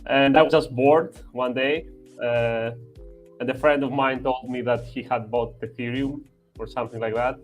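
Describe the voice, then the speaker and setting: A man's voice: medium pitch, fairly even intonation, faint music behind. Hesitant young man from Albania. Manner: hesitant, many "uh" pauses, slightly broken English. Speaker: guest. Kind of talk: casual chat.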